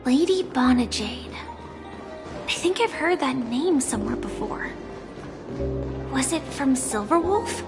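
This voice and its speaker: Dry, curious young adventurer girl, high voice, music behind. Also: expressive, slow pace, long pauses. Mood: wry. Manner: wry, dry inner monologue. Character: curious young woman adventurer, wry and cautious. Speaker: player character. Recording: game audio with music behind.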